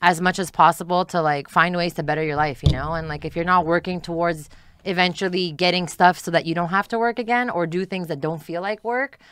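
A woman's voice: fairly low pitch, fairly even intonation, fairly quick, fairly bright tone, smooth, dry recording. A young woman from Montreal, a guest on a podcast, chatting casually with lots of "like" and "you know". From Montreal, Canada. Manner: chatty, frequent "like" and "you know", easy laughs. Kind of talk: casual interview chat.

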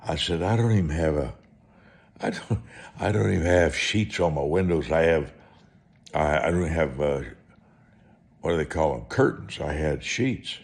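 A man preaching in a personal testimony style, folksy and deliberate, repeating phrases for emphasis. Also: very deep voice, creaky. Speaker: preacher, evangelist.

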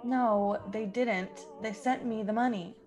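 A woman's voice: medium pitch, fairly even intonation, takes it slowly, soft background music, natural voice. A woman playing a plucky, earnest young woman in an old-time radio drama. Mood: pleading. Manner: earnest, imploring. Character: plucky, pleading young woman stranded in boy's disguise.

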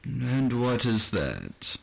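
A man playing a controlling, menacing master who manipulates minds in a radio drama, speaking deliberately and patronizingly. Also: medium pitch, huge pitch swings, vocal fry, husky, exaggerated voice. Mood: smug, probing.

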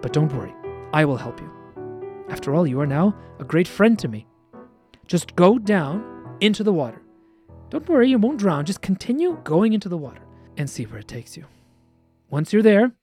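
A woman voicing a gentle, wise young princess. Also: fairly low pitch, very animated, normal speed, pauses often, soft background music. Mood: reassuring. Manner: gentle, reassuring. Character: wise, kind young princess who can become a dove.

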